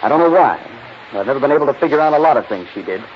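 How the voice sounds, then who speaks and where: A man's voice: mid-range voice, lively intonation, racing, close to an everyday voice. An actor playing an anxious, puzzled man of a wealthy family in a 1950s radio drama. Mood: anxious, subdued. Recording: dull 1950s radio recording, faint noise.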